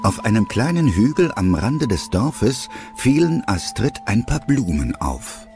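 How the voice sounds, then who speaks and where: Low-voiced male audio drama narrator. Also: very animated, measured pace, darkish tone, faint music behind.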